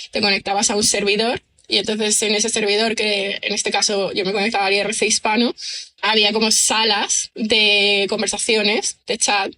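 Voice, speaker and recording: A woman's voice: fairly high pitch, pushed and bright. A woman, a writer chatting as an interview guest, rambling with many fillers. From Almería, Spain. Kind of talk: casual interview chat.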